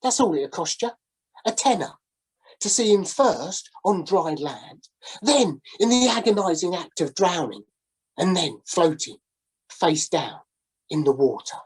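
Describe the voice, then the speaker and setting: A woman's voice: fairly low pitch, very animated, a little slow, husky, voice pushed up, full character voice, poor audio. A woman voicing a shabby, down-on-his-luck male ex-sailor who coaxes and wheedles. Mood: salesy.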